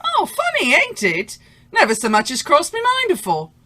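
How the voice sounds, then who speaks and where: A woman's voice: extremely high pitch, huge pitch swings, moderate pace, pushed and bright, full character voice. A woman playing a chatty, cheerful older shopkeeper in an old-time radio drama. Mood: chatty, obliging.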